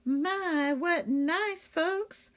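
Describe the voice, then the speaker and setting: A woman's voice: very high-pitched, drawn-out words, a bit bright, pitched above the performer's own voice, heavily acted. A woman voicing a cheerful, earnest, literal-minded housemaid in a children's storybook, folksy and given to musing aloud. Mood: delighted. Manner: folksy, exclaiming, musing to herself.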